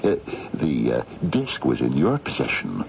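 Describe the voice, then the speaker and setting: Radio drama villain: evasive Soviet spy, gravelly, halting and outraged, on a dull lo-fi broadcast. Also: male voice, a bass voice, wildly swooping pitch, a little hiss or hum, full character voice. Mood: guarded accusation. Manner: halting, blustering denials. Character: indignant, evasive Soviet spy man under accusation.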